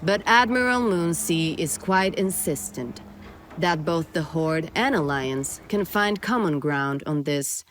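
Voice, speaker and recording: An actress playing a towering night elf warrior sentry in a radio play, stern and haughty. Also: female voice, deep voice, expressive, slow pace, slight background noise.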